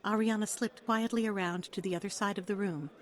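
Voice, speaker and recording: A woman's voice: mid-range voice, fairly even intonation, a little fast. A woman reading a story aloud as narrator, in a dramatic, scripted storytelling style.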